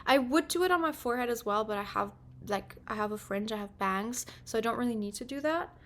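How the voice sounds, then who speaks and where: A woman's voice: a little high, expressive, fairly quick, fairly bright tone. A woman in her early twenties chatting casually with her stream while doing her makeup, rambling with lots of filler words. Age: 20s. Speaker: livestreamer.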